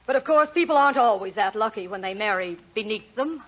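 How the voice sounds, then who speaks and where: A woman's voice: medium pitch, lively intonation, fast, natural voice. An American actress playing a snobbish, theatrical middle-aged mother in a 1940s radio comedy. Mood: smugly condescending. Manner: haughty, theatrical. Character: snobbish, easily affronted middle-aged mother, grand and fainting. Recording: dull vintage 1940s radio recording.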